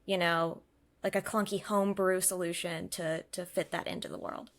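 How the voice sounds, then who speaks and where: A woman's voice: on the low side, little pitch movement, moderate pace. A woman game designer on a podcast panel, explaining things casually in a thoughtful, analytical way.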